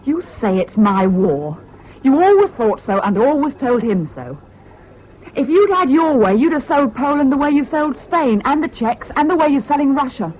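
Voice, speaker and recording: A woman's voice: sits high, very animated, normal speed. An actress playing a bitter, scornful, fiercely independent British woman in a 1970s radio drama, with a cutting, sardonic manner. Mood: angry, defiant. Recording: dull, noisy old radio recording.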